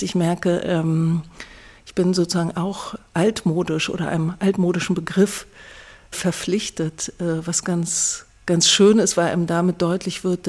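Female writer in interview, creaky and husky, halting, reflective. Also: lowish voice, darkish tone. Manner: halting, thinking aloud.

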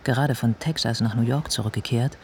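A man giving a scripted radio play narration in a measured, deliberate, descriptive manner. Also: mid-range voice, a little hiss or hum.

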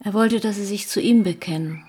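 An actress playing a composed, probing police detective in a TV crime drama, with measured, insistent questions. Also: female voice, medium pitch, dark tone, slightly rough, natural voice. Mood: accusing. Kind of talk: naturalistic German TV drama dialogue.

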